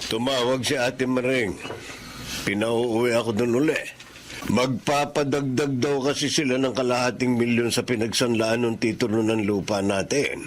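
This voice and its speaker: An actor playing an earnest, burdened middle-aged father, speaking in a measured radio drama style. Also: medium pitch, fairly even intonation, slight background noise, lightly acted. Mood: troubled.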